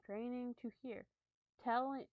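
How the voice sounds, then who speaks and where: A woman's voice: a little high, fairly even intonation, dry recording, narrow, tinny audio. A woman streamer who chats casually and reads horror stories aloud, stumbling over words, swearing at her slips and laughing. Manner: halting reading with stumbles and swearing; laughs and chats with viewers between readings. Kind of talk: casual chat and dramatic horror reading.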